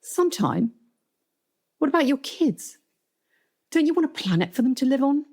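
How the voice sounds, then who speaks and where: A woman's voice: medium pitch, animated, moderate pace. A woman voicing an impassioned, incredulous female TV journalist in a dramatic first-person book reading. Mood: impassioned pleading.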